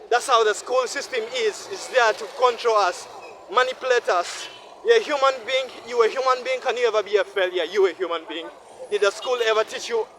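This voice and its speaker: Young ugandan guy, high voice, preaching at himself on a night walk, faint traffic behind. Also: a bit bright, low-quality recording. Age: 20s. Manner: repetitive, preachy, talks to himself in loops. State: sweaty after walking fast. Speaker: vlogger. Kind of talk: rambling night-walk monologue. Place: city street at night.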